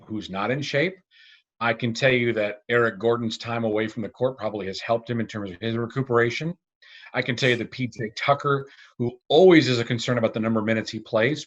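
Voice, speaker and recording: A man's voice: mid-range voice, fairly bright tone, highs cut off. A man talking sports as a guest announcer, emphatic and opinionated, with punchy repeated phrases. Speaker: radio and arena announcer, guest.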